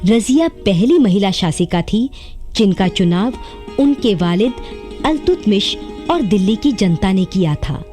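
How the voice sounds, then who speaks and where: A woman narrates the introduction to a historical radio drama in a formal, scripted style. The voice is mellow and expressive with wide pitch movement, over faint music. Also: measured pace.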